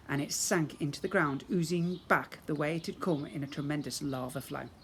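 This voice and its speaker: A man giving an expressive storybook narration, as a teacher reads to young children. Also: fairly high pitch. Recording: clear background noise.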